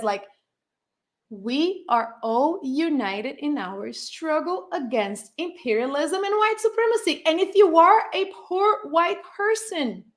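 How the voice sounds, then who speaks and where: A woman with a Brazilian Portuguese accent, a native Portuguese speaker, co-hosting a political livestream with passion and frequent laughter. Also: high voice. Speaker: co-host.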